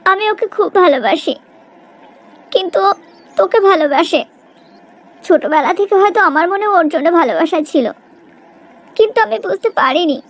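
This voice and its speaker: A woman playing a jealous, accusing young woman in a cartoon melodrama, with a confrontational, dramatic manner. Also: very high-pitched. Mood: lovelorn.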